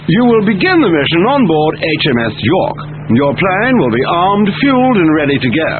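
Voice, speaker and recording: An actor playing a commanding military officer giving a formal, emphatic mission briefing in a video game, through a radio-like filter. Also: male voice, sits high, big pitch swings, moderate pace. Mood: commanding, urgent. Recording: tinny radio-like band, faint noise.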